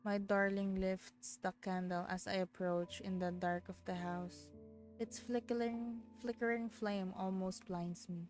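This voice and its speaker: A woman's voice: mid-range voice, fairly even intonation, measured pace. A woman streaming a game, reading its text aloud with stumbles and breaking off to exclaim in reaction. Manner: exclamatory, stumbles over read words. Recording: game music behind the voice. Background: soft game music.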